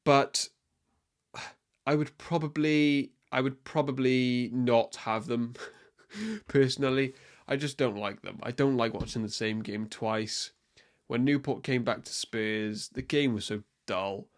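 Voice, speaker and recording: A young man with a voice of ordinary pitch for a man, hosting his own casual sports podcast. He talks in an opinionated, rambling way, full of ums and self-corrections, as if chatting straight to his listeners. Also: slow pace. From Wales. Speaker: podcast host. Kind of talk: casual solo football podcast.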